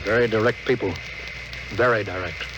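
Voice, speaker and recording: Guy as stern space captain, 50s radio acting, deliberate orders, noisy recording. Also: fairly low pitch, steady tone, lo-fi sound, natural voice. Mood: grave, deliberate. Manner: authoritative, deliberate. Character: grave, commanding starship captain. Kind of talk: 1950s radio drama acting.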